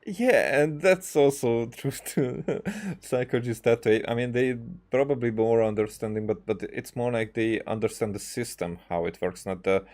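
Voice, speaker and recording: A man giving casual let's-play commentary, rambling and often halting as he searches for his words. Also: mid-range voice, a little mellow. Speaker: game streamer, commentator. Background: faint game music.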